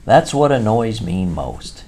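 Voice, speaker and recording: A man's voice: on the low side, sing-song, slow, gravelly, warm tone, a little hiss or hum, voice pushed up, full character voice. A man voicing a fussy, sensible male rat in a storybook reading. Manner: fussy, pleading, ponderous.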